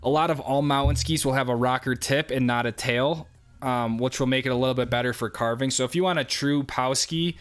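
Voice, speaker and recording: A young man with a New York accent, casually answering viewer questions on a livestream with a relaxed, rambling manner and frequent fillers. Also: mid-range voice, fairly quick, clean tone. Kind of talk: casual live chat.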